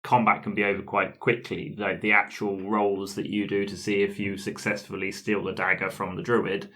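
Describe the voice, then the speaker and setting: A man's voice: on the low side, level tone, moderate pace, sharp tone. A man who plays tabletop games, talking casually in a post-game discussion.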